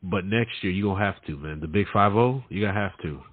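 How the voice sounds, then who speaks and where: A man's voice: low voice, quick pace, breathy edge, dry recording, phone-quality sound. A man in his late thirties hosting a casual chat, talking informally with slang and repeating himself for emphasis. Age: late 30s. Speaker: podcast host.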